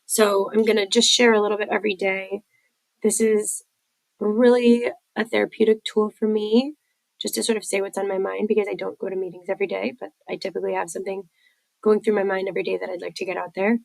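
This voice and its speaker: A woman's voice: medium pitch, little pitch movement, quick pace, creaky, no room sound, rough recording. A woman hosting a personal recovery podcast, congested from a head cold, talking candidly with many fillers. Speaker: podcast host.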